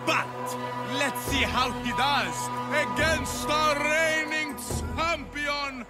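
An actor playing a grand ceremonial announcer, proclaiming bombastically in a video game over music and a crowd. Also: male voice, very high voice. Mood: grand, exultant. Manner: bombastic, drawn-out delivery. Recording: loud music and crowd behind. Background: music and cheering crowd.

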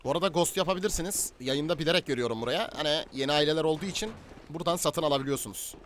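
A man's voice: a little high, racing, fairly bright tone, a little hiss or hum. A man in casual, slangy, bantering in-game roleplay chat, leading a gaming group.

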